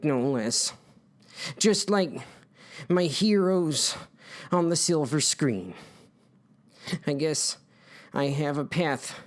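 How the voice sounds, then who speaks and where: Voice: mid-range voice, voice pushed down. A storyteller playing a brooding, melodramatic man. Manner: melodramatic, melancholy. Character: Kevin, brooding guilt-ridden grown man on the run. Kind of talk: comic storytelling.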